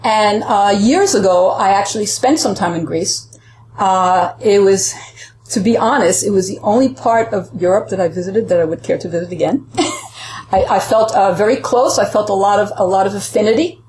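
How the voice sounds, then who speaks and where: Woman, from puerto rico, earnest interview guest, halting restarts, mid-pitched, roomy echo, faint background hum. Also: darkish tone. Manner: earnest, searching for words with many restarts. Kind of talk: reflective interview answers.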